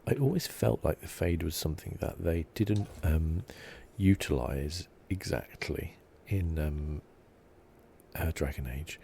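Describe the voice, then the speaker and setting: A man giving soft-spoken ASMR commentary as he plays a game, hushed, relaxed and meandering, close to the mic. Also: extremely low pitch, vocal fry, a little hiss or hum. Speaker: ASMR gaming host.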